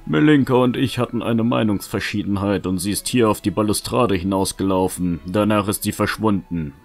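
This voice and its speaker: A sober young hero from a fantasy audio drama, voiced by a man. He is deep-voiced and matter-of-fact, giving orders calmly over soft music. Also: moderate pace. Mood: serious, directing. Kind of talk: fan audio drama acting.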